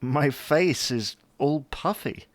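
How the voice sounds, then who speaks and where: A babyish, plaintive husband, acted by a man, sulks his way through a domestic audio comedy. He speaks slowly, and the recording is close and dry. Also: fairly high pitch, no echo. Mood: miserable, reluctant. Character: whiny, childish grown man, a sulky husband.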